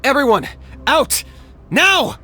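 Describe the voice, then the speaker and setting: An actor playing a terse, determined young warrior in a video game, measured and laconic. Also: male voice, very high voice, big pitch swings, bright, forceful tone, a little hiss or hum, heavily acted. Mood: urgent. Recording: game music behind the voice.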